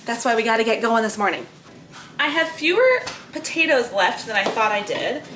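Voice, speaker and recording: A woman's voice: a little high, very animated, normal speed, a bit bright, a little hiss or hum. A middle-aged woman chatting casually and explaining things to camera, in the style of a home vlog. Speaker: homeschooling mother and vlogger.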